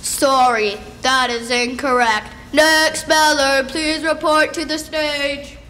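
A woman playing a formal, deliberate spelling bee announcer in an amateur puppet show. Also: high voice, fairly even intonation, pushed and bright, heavily acted. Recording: echoey room, faint noise.

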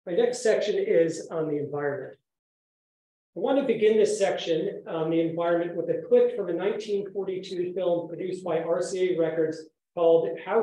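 A man giving an academic conference talk as a lecturer, speaking in a measured, formal, articulate way in an indoor room. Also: sits high, warm tone. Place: echoey indoor room, speaker standing while presenting. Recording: very echoey room sound.

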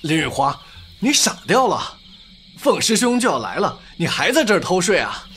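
Gossipy young male disciple played by a man, audio drama: high-pitched, extremely animated, chatty. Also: moderate pace, a little hiss or hum, heavily acted. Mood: teasing.

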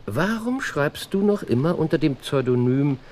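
A man's voice: on the high side, animated, normal speed, a little mellow, slight background noise, lightly acted. Man acting a theatrical, restless genius detective. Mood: dry, teasing. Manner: theatrical, precise, self-assured. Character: sharp, brilliant, restless male detective. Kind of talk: German radio play acting.

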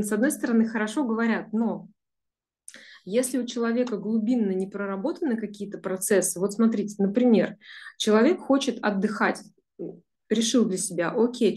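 A woman's voice: medium pitch, normal speed, warm tone. Woman psychologist talking to a group on a video call, earnest, lots of ums. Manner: earnest, flowing explanation with many fillers. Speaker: business psychologist leading a group session. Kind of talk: online group talk, explaining.

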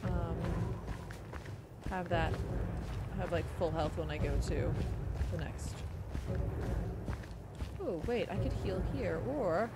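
A young woman with a fairly deep voice, casually commentating a game for her stream chat from a desk microphone, with excitable outbursts. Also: slow, pauses often. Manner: chatty, talks to chat and to herself, excitable outbursts. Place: home streaming room. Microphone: desk microphone, set low. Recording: loud game noise behind voice.

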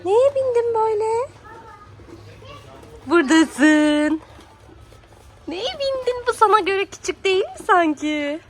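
A woman's voice: extremely high pitch, animated, slow pace, pauses often. A woman talking as a doting mother to her small child, in casual child-directed chat full of prompting questions. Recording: clearly noisy background.

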